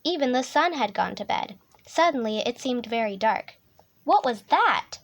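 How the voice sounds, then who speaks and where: High pitched lady reading a kids bedtime book, soothing and expressive. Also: normal speed, creaky. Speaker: storyteller reading a children's book aloud. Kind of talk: animated children's storybook reading.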